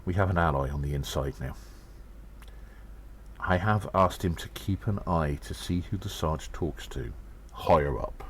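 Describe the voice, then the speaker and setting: A man playing an earnest undercover gnome agent in an audio drama, reporting in a measured, halting, formal and conspiratorial way. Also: a bass voice, slight background noise. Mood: thoughtful, conspiratorial. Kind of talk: first-person audio drama monologue, spoken report.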